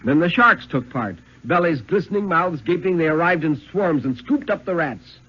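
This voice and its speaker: Haunted lighthouse keeper, vintage radio. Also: male voice, fairly high pitch, lively intonation. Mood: tense. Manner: measured, dramatic storytelling with rising urgency. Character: lighthouse keeper recounting a horrific siege, steely and haunted. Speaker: story reader. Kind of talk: 1950s radio dramatic reading. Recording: dull vintage radio recording.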